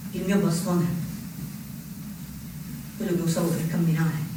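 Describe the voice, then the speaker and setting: A man acting a young anarchist recounting his fate in a theatrical stage monologue, with deliberate phrases and dramatic pauses. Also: fairly high pitch, lightly acted. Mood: bitter, insinuating. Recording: very echoey, distant stage recording with noise.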